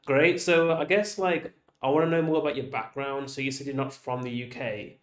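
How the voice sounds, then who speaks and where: A man hosting a casual interview, talking in a relaxed, chatty way and saying "like" a lot. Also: mid-range voice, normal speed, echoey room. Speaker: interview host.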